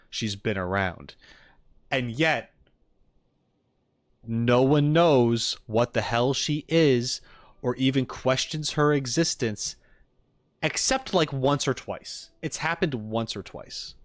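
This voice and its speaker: A male streamer chats casually with his audience in a mid-pitched voice. He rambles and quotes others emphatically, recorded dry and close to the microphone. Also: slow pace, pauses often, no room sound. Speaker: streamer reacting to game videos. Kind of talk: casual chat with viewers, theorizing.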